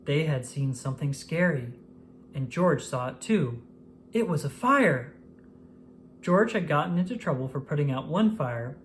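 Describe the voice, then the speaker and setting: Lively, expressive children's storybook narrator. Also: mid-range voice, a little hiss or hum. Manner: expressive, acts out exclamations and dialogue. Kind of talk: scripted storybook read-aloud.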